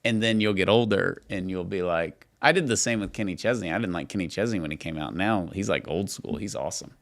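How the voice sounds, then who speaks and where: A man's voice: low voice, fast talker, gravelly. A middle-aged man co-hosting a podcast, telling stories and teasing in casual, emphatic, filler-heavy banter. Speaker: podcast co-host.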